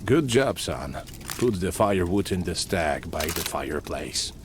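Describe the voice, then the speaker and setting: Deep-voiced, kindly, firm father. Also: lively intonation, measured pace, noisy background. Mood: paternal, firm. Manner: paternal, instructing. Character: kindly, authoritative father, a woodland cabin dweller. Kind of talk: fairy-tale adventure game voice acting.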